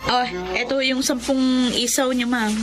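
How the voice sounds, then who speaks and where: Filipino mother, high-voiced, over music woman acting. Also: steady tone, a little slow, close to an everyday voice. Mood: motherly, teasing. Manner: warm, motherly, gently scolding, polite. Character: hardworking, loving mother, poor manicurist and barbecue vendor. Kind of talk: Filipino radio drama acting with first-person narration.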